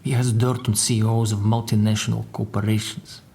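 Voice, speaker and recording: A low-voiced, solemn Russian agent. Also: male voice, flat delivery, vocal fry, dark tone, a little hiss or hum. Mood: grave, impressed. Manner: grave, boastful, deliberate recitations in dramatic lists. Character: proud, solemn Russian secret service agent turned crime boss's secretary. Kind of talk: audio drama acting.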